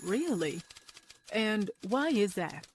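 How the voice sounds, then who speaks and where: A man voicing a poised older woman doctor who answers with calm, wry replies. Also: high voice, takes it slowly, a little hiss or hum, pitched above the performer's own voice, full character voice.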